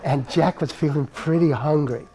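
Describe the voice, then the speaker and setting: Man, storyteller, live puppet show, playful, animated, chuckling asides, slightly high voice. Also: normal speed. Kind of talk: live folk-tale storytelling. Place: live performance before an audience.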